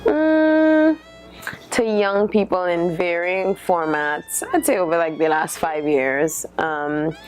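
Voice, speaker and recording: Trinidadian woman in her thirties, low voice, slow and thoughtful, interview answers with faint music under. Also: a little mellow. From Trinidad and Tobago. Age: 30s. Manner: thoughtful, lists things with many fillers. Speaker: dancer and dance teacher.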